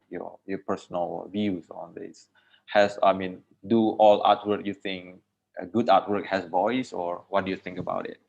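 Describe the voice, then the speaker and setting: Indonesian guy hosting online chat, kinda low voice, rewording questions, muffled lofi call sound. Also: gravelly. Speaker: host. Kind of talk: moderating an online discussion. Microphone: video call. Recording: dull lo-fi online call audio.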